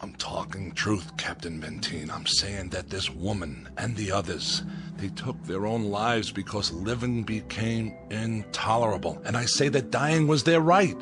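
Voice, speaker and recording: A man's voice: lowish voice, unhurried, music in the background, lightly acted. An actor playing a defiant, bitter young man of a space colony in a radio drama, speaking in an impassioned, declamatory way. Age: young adult.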